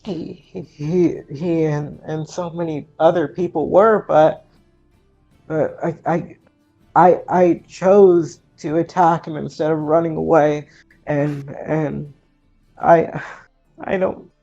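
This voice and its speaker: A man's voice: a little high, fairly even intonation, slow, vocal fry, warm tone, highs cut off, soft background music, full character voice. A man voicing a distraught, guilt-ridden teenage orphan girl in a tabletop game, stammering and repeating words. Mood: distraught, faltering.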